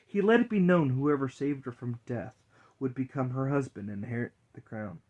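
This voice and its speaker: A man reads a fairy tale aloud as a storyteller. His voice is mid-range with a husky, breathy grain. The narration is scripted and delivered as a dramatic reading. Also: medium pitch, normal speed, darkish tone, highs cut off.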